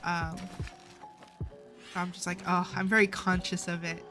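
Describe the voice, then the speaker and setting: A tired woman chatting casually as she livestreams a game, with a slightly stuffed-up nasal voice and a halting, stammering delivery that often repeats words. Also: lowish voice, flat delivery. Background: music.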